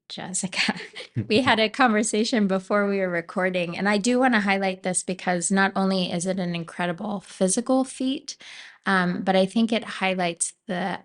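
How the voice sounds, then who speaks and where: Encouraging woman podcast host. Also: medium pitch. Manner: encouraging, frequent affirming murmurs. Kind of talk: casual interview conversation.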